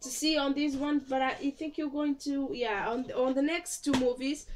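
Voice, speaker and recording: Young woman, Portuguese accent, casual film review, hesitant fillers, clear tone, faint hiss behind. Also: high voice, fairly even intonation, normal speed. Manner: chatty, hesitant, many fillers and restarts. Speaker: vlogger. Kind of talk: casual movie review vlog.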